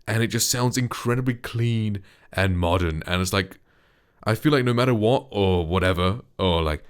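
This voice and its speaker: A man with a deep, dark voice, a voice actor chatting casually while reviewing a studio microphone he speaks into up close. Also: moderate pace, smooth. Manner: relaxed and chatty, lots of loose filler words. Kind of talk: casual gear review with voice demos. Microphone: close studio condenser microphone.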